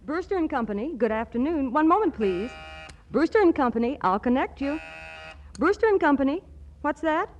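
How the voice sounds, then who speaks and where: An actress playing a brisk switchboard operator in 1940s radio comedy, with sing-song professional greetings. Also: sits high, lively intonation. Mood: brisk, businesslike. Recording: dull, noisy old radio recording.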